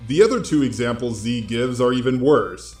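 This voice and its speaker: A man hosts a scripted commentary video in an informal, blunt style, addressing his audience directly. His medium-pitched voice has a roomy echo, and music plays faintly beneath it. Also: moderate pace, a little mellow. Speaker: host of online drama commentary videos.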